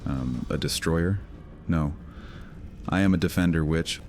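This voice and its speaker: Man acting as very deep-voiced brooding vampire outcast. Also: a little slow, a little mellow. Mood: defiant, annoyed. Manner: sardonic, hesitant fillers turning defiant. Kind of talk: dramatic fantasy audio roleplay. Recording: music under the voice.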